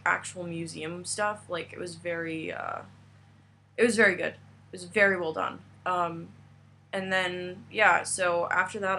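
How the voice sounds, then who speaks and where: A young woman from the United States chats through a travel story, piling on fillers and restarts. Her voice is bright and a little low, and a faint hum sits behind her. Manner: chatty, rambling with fillers and restarts. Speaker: vlogger. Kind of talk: casual travel vlog chat.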